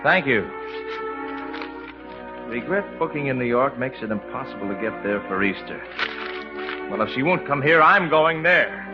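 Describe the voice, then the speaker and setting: An actor playing a romantic, easygoing innkeeper in love, in a 1950s radio musical. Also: male voice, medium pitch, expressive, normal speed, long pauses, highs cut off, over loud music, close to an everyday voice. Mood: determined.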